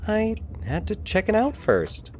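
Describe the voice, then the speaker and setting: A man's voice: sits high, huge pitch swings, a little slow, slight background noise, voice pushed up, heavily acted. A man voicing a cheerful, teasing young woman in an audio fiction story, with a breezy, emphatic delivery. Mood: playful.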